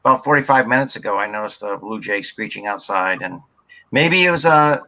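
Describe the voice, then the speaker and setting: A man speaking as a guest, in a relaxed and hesitant way with many pauses. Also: fairly low pitch, poor audio. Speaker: guest, paranormal investigator. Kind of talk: casual chat on a call.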